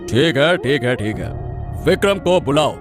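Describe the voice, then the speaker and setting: A man's voice: mid-range voice, lively intonation, moderate pace, soft background music, lightly acted. A man voicing an authoritative, questioning king in a cartoon story. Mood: questioning, commanding. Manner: commanding, questioning. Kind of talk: Hindi cartoon story voice acting.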